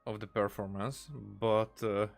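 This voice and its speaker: A man commenting casually on a game he is playing, thinking aloud in halting, restarting sentences. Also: on the low side, flat delivery, soft background music. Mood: musing. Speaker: streamer. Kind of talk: let's play commentary.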